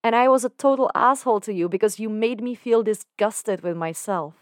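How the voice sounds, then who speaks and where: A woman's voice: fairly high pitch, brisk, no echo, clean studio sound, pitched above the performer's own voice, lightly acted. A woman voicing an emotional young man who talks in an earnest, candid way with casual slang. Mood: confessional. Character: young man, emotional and confessional, self-doubting.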